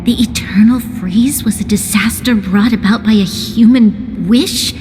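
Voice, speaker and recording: An actress playing a spirited, watchful young heroine in a video game. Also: female voice, medium pitch, a little slow, over music, close to an everyday voice. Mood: thoughtful. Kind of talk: video game character acting.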